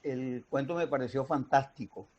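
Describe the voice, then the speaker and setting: A man with a Colombian Caribbean accent explaining things in a didactic, deliberate way with pauses, as in an interview. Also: medium pitch, darkish tone. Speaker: radio drama writer and mentor. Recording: dull, muffled lo-fi sound.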